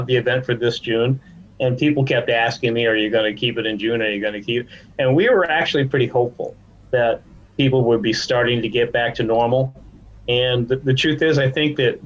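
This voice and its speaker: A man's voice: mid-range voice, dull audio, slight background noise. A man speaking conversationally as a guest executive in a podcast interview. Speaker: podcast guest, trade association chief executive.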